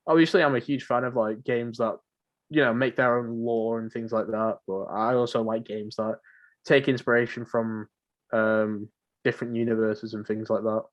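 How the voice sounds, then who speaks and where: A young man co-hosting a podcast in casual chat, speaking haltingly and often repeating his phrases. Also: mid-range voice, fairly even intonation, highs cut off. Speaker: podcast co-host.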